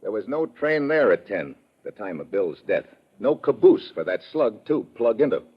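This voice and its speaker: A man playing a tough, wisecracking undercover investigator in 1950s radio drama, husky, blunt and sardonic, on a dull old radio recording. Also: on the low side, big pitch swings, normal speed. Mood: firm explaining. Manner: hard-boiled, sardonic, blunt.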